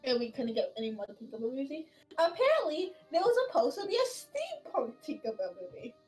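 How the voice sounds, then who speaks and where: A woman with a high voice chats casually as she plays a game. Her delivery is animated and swooping, excitable and a bit rambling, with faint music behind her. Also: brisk. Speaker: gaming streamer. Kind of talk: casual chat while gaming.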